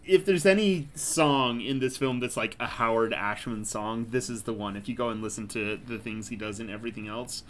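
A man's voice: mid-range voice, brisk, few pauses, a bit bright, a little hiss or hum. A man co-hosting a podcast, talking in an enthusiastic, rambling way with many fillers. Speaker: podcast co-host. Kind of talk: casual chat.

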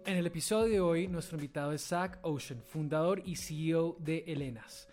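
A man's voice: a little high, normal speed, darkish tone, faint music behind. A man hosting a startup podcast, upbeat, reading a scripted opening and interviewing a guest. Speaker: podcast host.

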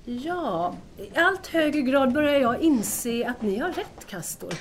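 Actress as a high-voiced, hesitant young woman. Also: very animated, slight background noise. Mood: uncertain, worried. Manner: hesitant, polite, formal. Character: young, searching, hesitant woman student, Bianca. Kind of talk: Swedish audio drama dialogue.

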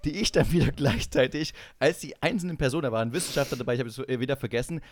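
A man's voice: mid-range voice, rapid-fire, a little hiss or hum. A man in his forties from East Germany chatting casually as a podcast co-host, telling stories with teasing banter. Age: 40s. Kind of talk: casual chat, storytelling.

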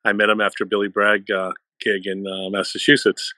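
A man's voice: fairly low pitch, completely flat delivery, a little fast, sharp tone, no echo. A man with a Boston accent talking casually as a guest on a music discussion. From Boston area, United States. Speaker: panel guest, music fan.

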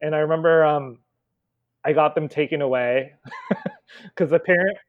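A man in his thirties, a podcast guest, telling a lively childhood story with laughs. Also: fairly high pitch, measured pace, no echo, narrow, tinny audio. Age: 30s. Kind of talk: casual podcast chat.

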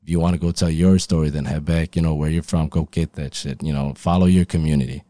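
A man's voice: very deep voice, fairly even intonation, fast, mellow, no room sound, studio quality. A man in casual, slangy conversation, speaking earnestly and emphatically with frequent "you know" asides.

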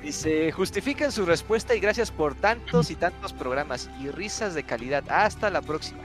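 A Mexican man with a slightly raised speaking pitch hosts a relaxed group chat, reading messages aloud with lively energy. Clear music plays underneath his voice. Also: moderate pace. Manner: lively, reads messages aloud and jokes along. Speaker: podcast host reading listener mail.

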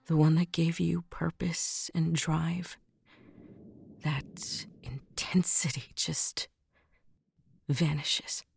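A woman portrays a bitter, wounded human in a science fiction audio drama. Her dark, husky voice moves slowly, brooding and confessional, over music. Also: deep voice, exaggerated voice.

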